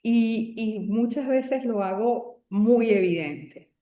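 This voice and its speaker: A Venezuelan woman speaking as a podcast guest, with a mellow, soft-edged voice. She talks in a reflective way and often restarts or pauses mid-sentence. The sound carries a noticeable echo from the room around her. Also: a little high. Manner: reflective, with frequent restarts and hesitations. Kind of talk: podcast interview.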